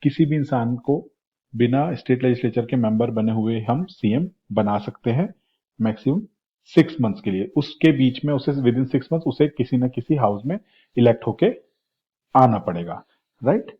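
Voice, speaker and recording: A slightly hoarse man with an Indian accent, teaching a quiz-style exam lecture and repeating questions in Hindi and English. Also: medium pitch, expressive, normal speed. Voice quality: husky. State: slightly hoarse, sore throat. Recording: dull, lo-fi lecture audio.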